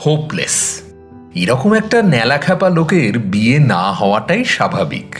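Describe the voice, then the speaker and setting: A man narrating a dramatised story in a chatty, expressive way, with conversational, teasing asides. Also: medium pitch. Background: background music.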